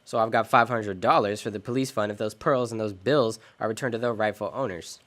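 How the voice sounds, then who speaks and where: An actor playing a world-weary, decent American private detective in an old-time radio drama, wry and clipped. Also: male voice, fairly low pitch, brisk, fairly bright tone, lightly acted. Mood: businesslike, probing. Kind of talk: 1940s detective radio drama, first-person narration and dialogue.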